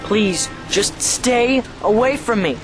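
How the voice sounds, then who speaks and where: A woman's voice: on the high side, lively intonation, slow pace, over music. A woman playing a frightened, pleading young boy possessed by an alien presence in an audio drama. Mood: remorseful. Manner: pleading, distressed.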